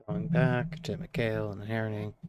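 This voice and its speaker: A man's voice: on the low side, flat delivery, moderate pace, a little mellow. A man giving brief, casual live commentary as he streams a video game. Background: faint game music, clicking.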